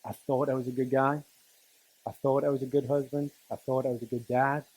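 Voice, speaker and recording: A middle-aged man from England, giving a personal talk to students in a conversational, halting way with dry humour. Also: mid-range voice, little pitch movement, a little mellow, rough recording. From Newcastle, northern England. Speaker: teacher and coach giving a speech to students. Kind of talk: personal motivational talk, storytelling.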